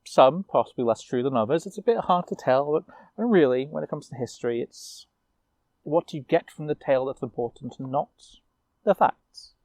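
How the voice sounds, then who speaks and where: A man telling a fantasy tale in character, moving between informal asides and measured narration. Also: medium pitch, expressive, a little mellow, no echo. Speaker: storyteller.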